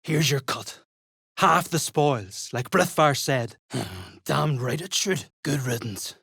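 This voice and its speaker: Gravelly, foul-mouthed duergar mercenary. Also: medium pitch, slow pace, fairly bright tone, dry recording, studio quality, heavily acted. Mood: gruff, irritated. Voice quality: gravelly, husky. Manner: gruff, sweary, rough colloquial speech. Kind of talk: fantasy video game character acting.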